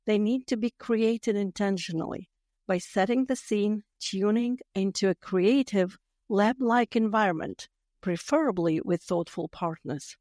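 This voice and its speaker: A woman in her fifties from Latvia with a Latvian accent, hosting a podcast in a measured, carefully read monologue. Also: mid-range voice, darkish tone, no room sound, clean studio sound. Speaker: podcast host. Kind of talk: scripted solo podcast monologue.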